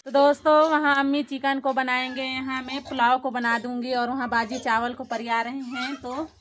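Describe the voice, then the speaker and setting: A woman's voice: high voice, level tone, moderate pace, noisy background. A woman in her thirties chatting to her viewers as she cooks in a village courtyard, filming herself on a phone held at arm's length. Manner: chatty, addresses viewers directly. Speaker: vlogger. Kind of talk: casual narration to camera. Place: village courtyard and home at night.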